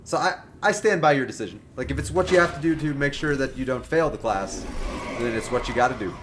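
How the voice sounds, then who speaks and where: A man in his twenties chatting casually with viewers while livestreaming a video game, joking and animated. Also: mid-range voice, fast, background noise. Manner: animated, joking, talks to chat by name. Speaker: game streamer.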